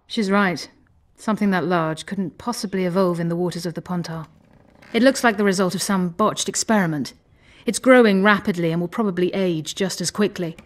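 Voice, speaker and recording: An actress playing a haughty, self-assured sorceress in a video game, speaking in a cool, imperious way. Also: female voice, lowish voice, brisk, close to an everyday voice. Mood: cool, confident.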